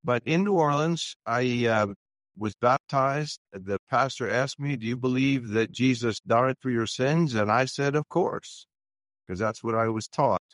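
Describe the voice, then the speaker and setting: A man's voice: mid-range voice, no echo. Older pastor guest telling his story; sincere, hesitant delivery, very dry mic. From Louisiana, US. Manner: earnest, halting, many fillers and restarts. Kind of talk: personal testimony in a radio interview.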